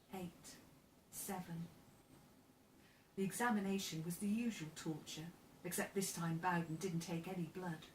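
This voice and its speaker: A woman narrating a children's novel as its author, in an expressive storytelling style. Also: mid-range voice. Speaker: author reading own novel aloud. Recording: roomy, distant mic, faint background noise.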